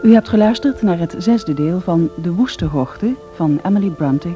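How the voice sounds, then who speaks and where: A woman's voice: lowish voice, lively intonation, moderate pace, over music. A woman reading scripted closing credits as a radio announcer. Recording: dull old radio recording.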